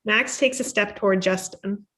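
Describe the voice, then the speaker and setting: A woman's voice: mid-range voice, moderate pace, fairly bright tone. A woman reading stage directions as scripted narration for a comedy table read.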